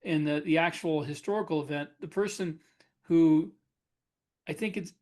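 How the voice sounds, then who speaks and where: A man with a fairly high voice joins in on a podcast chat. He talks hesitantly, trailing off and hunting for the right words as he goes. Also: moderate pace, a little mellow. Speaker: podcast co-host. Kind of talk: casual chat.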